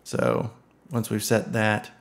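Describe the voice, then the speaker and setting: A man giving a relaxed screen-recorded software tutorial, thinking aloud with many ums and uhs. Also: lowish voice, takes it slowly, creaky. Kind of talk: casual screen-recorded tech walkthrough.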